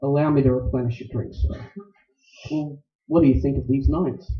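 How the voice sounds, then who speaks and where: A man plays a suave radio-play villain with a mid-pitched voice and scheming, ornate manners. He speaks with oily formality, as if every word were a bargain. The sound is dull and echoey. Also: steady tone, normal speed, natural voice. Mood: smooth, grandiose. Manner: formal, unctuous, wordy. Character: suave, scheming, verbose male villain obsessed with treasure. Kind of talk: 1940s radio acting.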